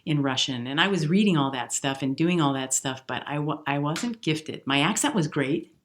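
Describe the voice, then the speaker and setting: A woman's voice: sits low, expressive, normal speed, a little hiss or hum. A woman in her fifties from the US Midwest, a jazz singer telling her story in an interview, reflective and earnest. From Milwaukee, US Midwest. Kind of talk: conversational interview.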